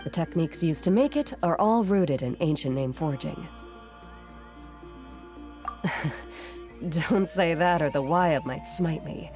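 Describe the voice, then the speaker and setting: A woman voicing a gentle, caring young artisan in a fantasy video game, soft-spoken and earnest. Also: deep voice, animated, slow pace, long pauses, faint music behind. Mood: modest, explaining.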